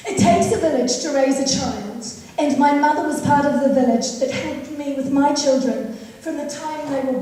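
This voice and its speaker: A hoarse woman in her fifties giving a composed, reflective funeral eulogy at a church lectern, with a wry touch. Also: sits high, dark tone, distant mic, slight background noise. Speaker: eulogist, eldest daughter. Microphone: microphone at a lectern. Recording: echoey hall, picked up from afar.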